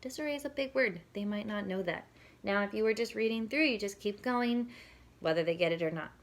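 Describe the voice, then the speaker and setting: Woman with creaky voice giving reading tips. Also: medium pitch, brisk. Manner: conversational, explanatory. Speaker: parenting and reading educator. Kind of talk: informal instructional talk with demonstrated questions.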